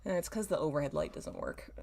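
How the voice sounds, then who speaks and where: A woman's voice: deep voice, wildly swooping pitch, a little fast, darkish tone. A woman in her thirties reading a story aloud like a streamer, with self-mocking, wry asides and theatrical emphasis. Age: 30s. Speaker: streamer reading own old fan fiction.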